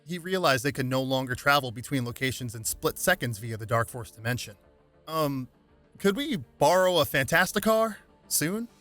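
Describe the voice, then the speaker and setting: Bright-voiced man narrating over music. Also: mid-range voice. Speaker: narrator reading game lore aloud. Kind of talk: dramatic scripted narration.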